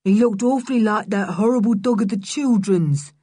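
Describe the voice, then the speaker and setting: Woman reading as a jumpy surprised teen lad, loud and bright. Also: mid-range voice, moderate pace, slightly rough, no echo, studio quality, natural voice. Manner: surprised, calling out. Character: nervous surprised teenage boy, sulky.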